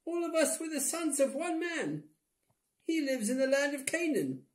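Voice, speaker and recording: A meek, frightened young fellow in a whiny falsetto far above a normal speaking voice. He pleads anxiously, as in a storybook reading, in an echoey room. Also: sing-song, moderate pace. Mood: anxious pleading. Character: frightened meek brothers pleading in squeaky unison. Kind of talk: dramatic storybook reading with toys. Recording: roomy indoor echo.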